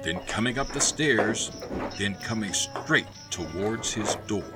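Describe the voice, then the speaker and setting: A man's voice: lowish voice, animated, measured pace. A man narrating a radio play as a dramatic storyteller, theatrical and suspenseful. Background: music.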